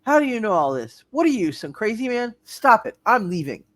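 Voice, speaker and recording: A woman's voice: on the high side, big pitch swings, a little fast, no echo. A woman playing a prim, wary spinster librarian in a hammed-up radio play reading. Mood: alarmed.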